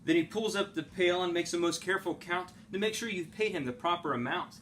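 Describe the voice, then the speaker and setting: A man's voice: high voice, a little fast, a bit bright, a little hiss or hum. A man reading a children's story aloud like a teacher, expressively and with dramatic phrasing.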